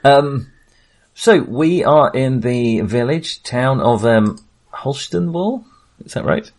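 A man hosting a podcast in a casual discussion style, halting and often searching for words. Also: mid-range voice, expressive. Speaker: podcast host.

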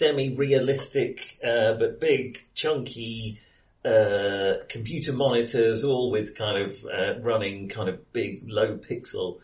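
A man hosts a casual film podcast in a mid-range voice. His delivery is halting, as he feels around for the right word. The recording is roomy and echoey, with him sitting some way back from the microphone. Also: mid-range voice. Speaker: podcast host. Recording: roomy echo, distant from microphone.

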